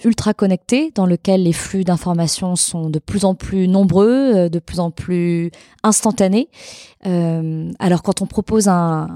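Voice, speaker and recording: Woman podcaster, thoughtful and measured, warm dark tone, dry recording. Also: mid-range voice, no echo. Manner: measured, hesitant with frequent fillers. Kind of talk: reflective interview answer.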